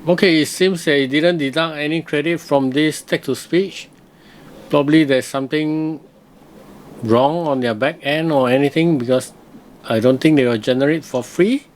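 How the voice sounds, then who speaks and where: Higher-pitched man talking through a tutorial. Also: slight background noise. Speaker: software reviewer, host. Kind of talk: casual screen-recorded tutorial commentary.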